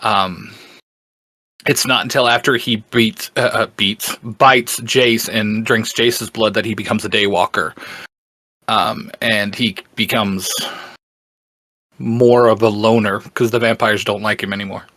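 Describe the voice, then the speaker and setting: A man speaking as a podcast guest, explaining things casually and haltingly as he searches for words. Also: on the low side, steady tone, bright, forceful tone, dry recording. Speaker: podcast guest and fan explaining a book series.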